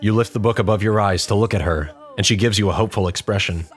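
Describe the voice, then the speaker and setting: A man's voice: on the low side, quick pace. A man narrating a scripted story in the second person for an audio drama.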